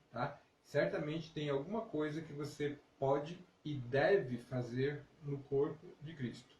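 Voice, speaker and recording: A man's voice: medium pitch, darkish tone. A middle-aged man hosting a casual devotional live stream, encouraging listeners conversationally with frequent check-in tags. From northeastern Brazil (born in Alagoas, raised in Paraíba). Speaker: ministry leader and live-stream host. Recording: roomy, distant mic with clear noise.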